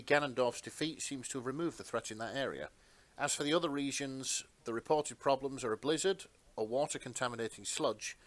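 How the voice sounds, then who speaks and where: A man's voice: medium pitch, fairly bright tone. A man reading scripted narration for a fantasy fan story in a dramatic storytelling style.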